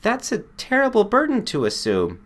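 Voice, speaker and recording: High-voiced, adoring nervous woman, acted by a man. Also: huge pitch swings, normal speed, a bit bright, heavily acted. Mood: anxious.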